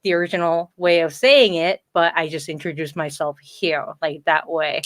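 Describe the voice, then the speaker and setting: A woman's voice: low-pitched, steady tone, moderate pace, fairly bright tone. Chatty woman, native Korean speaker. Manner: chatty, lots of "like" fillers, laughs often. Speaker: guest, entertainment design coordinator. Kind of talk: casual interview chat.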